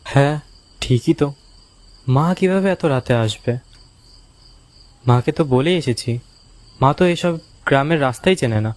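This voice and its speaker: A man's voice: medium pitch, measured pace, long pauses, darkish tone, lightly acted. A man playing a curious, skeptical young visitor in a cartoon ghost story, questioning and doubtful in manner.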